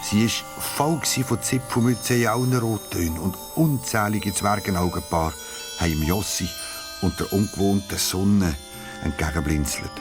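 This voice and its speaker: A man's voice: low-pitched, normal speed. A man with a Swiss German accent narrating a scripted children's story as its storyteller. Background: music.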